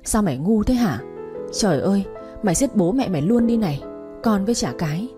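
Một phụ nữ đóng bà mẹ trung niên giận dữ. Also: female voice, lively intonation, brisk, dark tone, faint music behind, lightly acted. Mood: furious. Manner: shrill, harsh scolding. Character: furious middle-aged mother scolding daughter.

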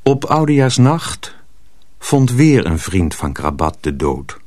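Guy narrating a radio play, takes his time, lowish voice with lots of inflection. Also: big pitch swings, darkish tone. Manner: measured, storytelling. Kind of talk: scripted dramatic radio narration.

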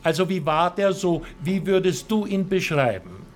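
An actor playing a jovial, gushing broadcast host with a singsong presenter's delivery in a radio drama. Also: male voice, high voice, big pitch swings, normal speed, a little hiss or hum. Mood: chatty, amused. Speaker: show host.

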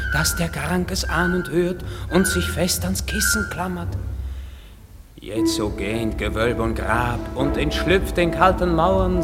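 Mischievous male elf sprite, slightly high voice, incantatory radio verse, loud music behind. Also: in a large hall. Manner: incantatory, declamatory. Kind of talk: theatrical verse radio play.

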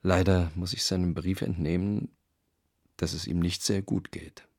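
A cautious male publisher who confides his business doubts in a low, hushed way. His voice is very deep, gravelly and husky. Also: flat delivery, a little slow. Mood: confiding. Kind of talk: radio drama letter reading.

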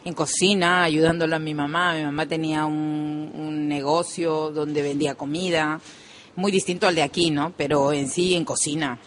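A woman in her thirties with a Peruvian Spanish accent, a guest on a radio interview. She speaks in a calm, matter-of-fact way. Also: low-pitched, normal speed, slight background noise. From Peru. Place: local radio studio.

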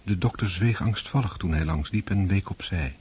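A man reading scripted literary narration for a radio drama, composed and measured. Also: low-pitched, darkish tone, breathy edge. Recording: noisy old radio drama recording.

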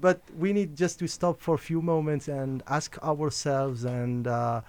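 A man's voice: a little high, fairly even intonation, a little mellow, dry recording. A man from Algeria who grew up speaking Arabic and French, a radio guest talking casually with many fillers and restarts. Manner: halting, many fillers and restarts, laughs often.

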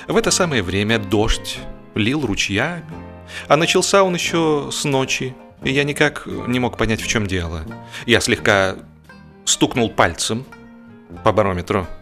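A man reading a humorous literary story aloud in an expressive, wry, theatrical storytelling manner. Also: on the low side. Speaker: audiobook narrator and actor.

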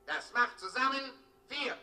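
An actor playing a pompous official town crier in a radio play, declaiming solemn propaganda bulletins in short phrases. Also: male voice, extremely high pitch, measured pace, over loud music. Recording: roomy, distant from mic.

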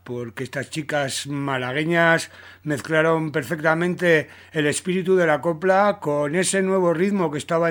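A man's voice: a little high, fairly bright tone. Male radio host, music commentary.

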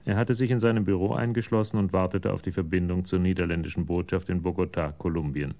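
Deep-voiced male crime narrator. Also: steady tone, fast talker, dark tone. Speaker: narrator of radio drama. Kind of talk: scripted crime story narration.

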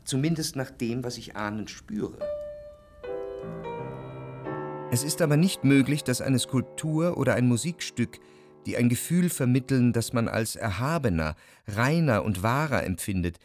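An actor playing an indecisive, brooding young man, narrating a literary radio drama in a reflective, measured way. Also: medium pitch, close to an everyday voice. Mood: contemplative. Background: instrumental music.

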